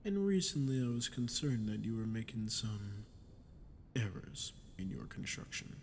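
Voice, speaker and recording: A man playing a sadistic, smug archdemon in an ASMR roleplay, talking down to the listener with slow, mocking condescension. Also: lowish voice, big pitch swings, slight background noise. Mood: smug, knowing. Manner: mocking, condescending, slow taunting delivery with breathy drops. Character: sadistic, smug male archdemon toying with a captive ruler. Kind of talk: ASMR audio roleplay, dramatic monologue to the listener.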